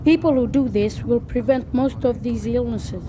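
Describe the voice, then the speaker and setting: High-pitched earnest schoolchild played by female. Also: moderate pace, natural voice. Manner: earnest, explanatory. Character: earnest, well-informed schoolchild. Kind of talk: acted educational film dialogue. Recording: noisy outdoor street with vehicles.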